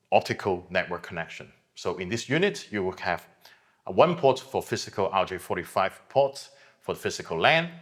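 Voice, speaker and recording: A middle-aged man giving a measured, explanatory product presentation as a company representative. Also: deep voice, a bit bright. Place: hi-fi showroom.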